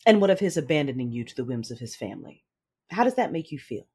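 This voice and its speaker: Casting: sci-fi audio drama villainess played by a woman, cold Sith mother, husky voice, deliberate menacing delivery, wide swings in pitch. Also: very animated, a little mellow, full character voice. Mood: stern, probing. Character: ruthless Sith matriarch, cold commanding mother. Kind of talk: first-person dramatic narration in an audio drama.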